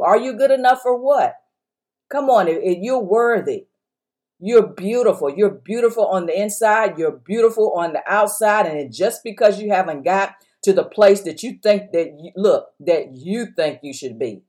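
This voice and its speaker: A woman's voice: mid-range voice, a little mellow. A woman pastor with a strong voice, preaching an encouraging sermon on a podcast and exhorting her listeners with repeated phrases.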